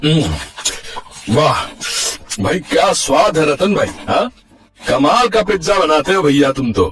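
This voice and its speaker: A man's voice: fairly high pitch, sing-song, unhurried, fairly bright tone. A man voicing an enthusiastic poor village customer in a moral storybook tale. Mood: delighted.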